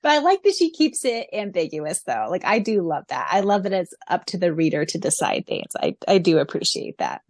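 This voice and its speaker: A woman's voice: on the low side, sing-song, a little fast, hardly any pauses, no room sound, clean studio sound. A woman co-hosting a fan podcast who also narrates its audiobook, gushing and giggly as she chats and reads. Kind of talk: casual fan podcast chat.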